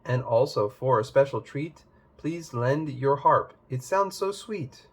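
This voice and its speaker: A man's voice: mid-range voice, animated, a little slow. A man voicing a cheeky, apologetic, coaxing young lad in a storybook tale.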